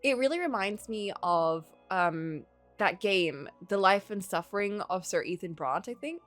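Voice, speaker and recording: A woman live streams a story game with a very lively, swinging pitch. She breaks into playful, exclaiming asides, and faint music plays along. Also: measured pace. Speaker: streamer playing a visual novel. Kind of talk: live stream read-aloud with reactions.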